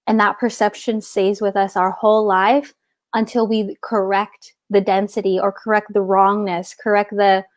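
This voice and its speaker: Middle-aged woman guest, casual and meandering, mid pitch, dry close recording. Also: flat delivery, normal speed, no echo. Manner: rambling, searching for words with many restarts. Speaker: guest author. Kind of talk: casual spiritual conversation.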